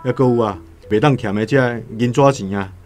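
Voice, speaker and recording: A man's voice: mid-range voice, fairly quick, darkish tone, faint music behind, lightly acted. An actor playing a humble, honest, poor vegetable seller and father in a radio drama, colloquial and deferential, with a Taiwanese accent. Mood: hopeful.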